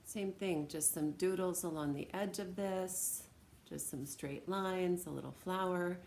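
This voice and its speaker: A woman's voice: fairly low pitch, measured pace, a little mellow, a little hiss or hum. An American woman teacher talking informally and encouragingly to students as she reads aloud and demonstrates things. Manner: encouraging, conversational.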